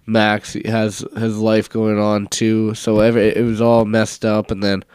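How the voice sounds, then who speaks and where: A young man co-hosting a casual sports podcast, stuffed up from a cold and talking in a relaxed, rambling way. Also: fairly low pitch, a little slow, clear, resonant tone, no echo, studio quality. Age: young adult. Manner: relaxed, rambling, many fillers. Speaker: podcast co-host, university football player.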